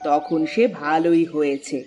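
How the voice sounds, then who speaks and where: A kindly mother, voiced by a woman, speaks softly and reassuringly in a low voice. Soft music plays in the background. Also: flat delivery, unhurried. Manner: gentle, reassuring. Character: kindly middle-aged mother, soothing.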